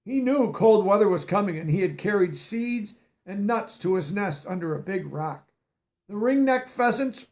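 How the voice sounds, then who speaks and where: A man reading a children's picture book aloud, stopping to explain words in a teacherly way. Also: sits high. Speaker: storybook reader.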